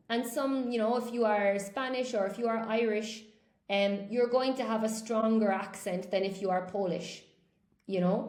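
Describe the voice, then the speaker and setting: Chatty, animated woman teacher in an echoey room. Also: medium pitch. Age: middle-aged. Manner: animated storytelling with laughs and acted quotes. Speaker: English teacher. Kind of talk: casual live-stream chat reading viewer comments.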